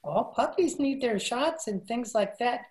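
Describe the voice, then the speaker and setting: A woman's voice: a little high, animated, unhurried, darkish tone. A woman playing an earnest, hesitant man in an old-time radio comedy, recorded over a video call. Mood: relieved, hurried. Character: hurried, grateful dog owner Mister Zinser. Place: room at home, video call.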